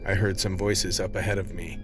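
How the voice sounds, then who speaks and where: Guy as a hard-boiled undercover cop narrating a radio drama, really low voice, music playing behind. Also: level tone, brisk, lightly acted. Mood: grim, wry. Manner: colloquial, hard-boiled storytelling. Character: streetwise male undercover vice cop recounting his ordeal. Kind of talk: first-person radio play narration. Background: prominent music.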